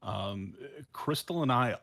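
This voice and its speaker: A man chatting casually as a podcast panelist, talking in a rambling, halting, self-deprecating way. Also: medium pitch, lively intonation, fairly bright tone. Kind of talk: casual fan chat.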